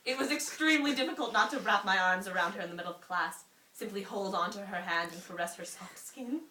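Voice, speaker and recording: A woman's voice: mid-range voice, fairly quick, close to an everyday voice. A woman reading the part of an anxious, lovestruck male teacher from a story, earnest and confessional. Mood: yearning, uneasy. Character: lovestruck, anxious male teacher narrating his secret affair. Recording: roomy echo, faint hiss.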